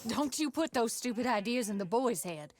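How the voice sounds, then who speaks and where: A woman's voice: a little high, expressive, moderate pace, darkish tone, close to an everyday voice. An actress playing a strong-willed, loving frontier wife and mother with an American Western accent, in a video game. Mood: disapproving. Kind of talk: naturalistic video game acting.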